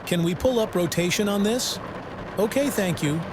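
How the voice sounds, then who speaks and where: Guy acting as worried tv weatherman, kinda high voice, super animated, explains stuff, noisy recording. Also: moderate pace. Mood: focused, explanatory. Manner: explanatory, urgent, conversational. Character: concerned male TV meteorologist covering a storm live. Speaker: weather broadcast presenter. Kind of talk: acted live storm coverage.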